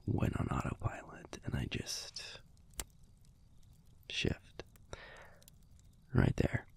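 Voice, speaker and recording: A man playing a gruff but fond young werewolf boyfriend in an intimate audio roleplay, telling a story in a hushed, halting, husky and gravelly voice. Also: deep voice, full character voice. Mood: animated, amused. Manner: hushed, halting, conversational delivery with pauses.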